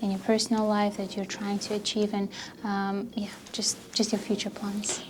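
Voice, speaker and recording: A woman hosting an interview, speaking casually and backing the guest with soft "mm-hmm"s. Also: mid-range voice, monotone, normal speed, music in the background. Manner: soft, frequent "mm-hmm" backchannels. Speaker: podcast host.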